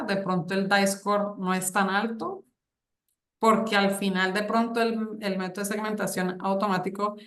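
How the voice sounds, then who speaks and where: A woman's voice: mid-range voice, fairly bright tone. A woman on a thesis jury asking questions, hesitant and full of fillers and restarts. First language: Spanish. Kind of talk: academic questioning at a thesis defense. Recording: echoey room.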